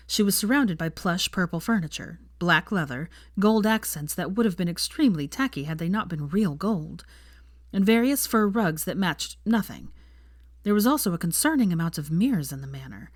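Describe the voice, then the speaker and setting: A woman's voice: lowish voice, dry recording, studio quality. A woman reading a story aloud as scripted audiobook narration. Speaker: fan fiction audiobook narrator.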